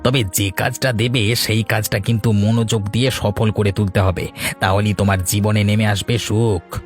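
A man's voice: fairly low pitch, fairly even intonation, moderate pace, faint music behind, close to an everyday voice. A man voicing a sly, coaxing village go-between in a cartoon tale. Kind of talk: Bengali fairy-tale cartoon voice acting.

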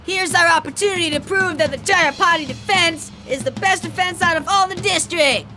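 A boastful little cartoon footballer, a boy, exclaims with excitement. The voice is extremely high, with music clearly behind it. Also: moderate pace. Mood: excited. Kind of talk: animated cartoon dialogue. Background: background music.

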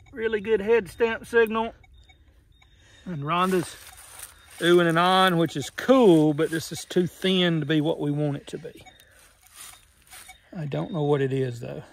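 Gravelly Southern US woman vlogging outdoors. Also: fairly low pitch, unhurried, pauses often. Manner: folksy, talking to viewers. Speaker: metal detecting vlogger. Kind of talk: casual chat while digging. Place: outdoors on an old farm. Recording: outdoor camera audio with noise.

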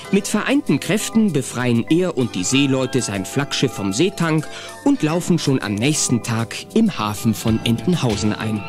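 A man narrating a children's audio play in a suspenseful, expressive storytelling style. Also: mid-range voice, natural voice. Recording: music under the voice. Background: music.

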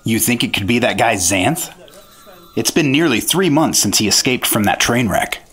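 An actor playing an earnest, eager 1950s FBI agent sidekick in a radio drama. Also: male voice, mid-range voice, expressive, moderate pace, fairly bright tone, husky. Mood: earnest, curious.

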